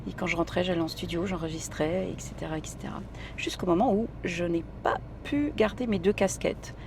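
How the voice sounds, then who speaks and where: A woman's voice: fairly low pitch, a little fast, a little mellow. Talkative woman chatting in a noisy car. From Italy. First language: Italian. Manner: chatty and talkative, many fillers. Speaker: guest singer. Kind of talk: casual interview chat.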